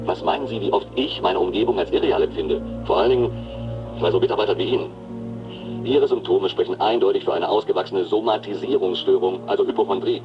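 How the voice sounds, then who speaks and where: An actor playing a cynical, domineering pharmaceutical boss in a radio drama, brusque and patronising. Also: male voice, low-pitched, quick pace, close to an everyday voice. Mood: cold, sardonic. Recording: muffled sound with music underneath.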